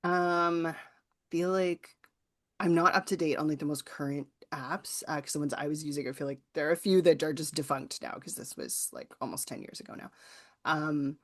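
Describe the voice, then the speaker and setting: A woman's voice: sits low, moderate pace. A woman guest author answering audience questions informally, in a thoughtful, hedging, conversational way. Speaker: guest author and workshop presenter.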